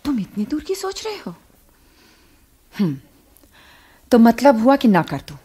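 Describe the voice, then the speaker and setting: Caring but reproachful wife in a radio play, very lively pitch, slightly husky. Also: female voice, measured pace, heavily acted. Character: middle-class wife and mother, caring, reproachful, principled. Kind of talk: Hindi radio drama acting.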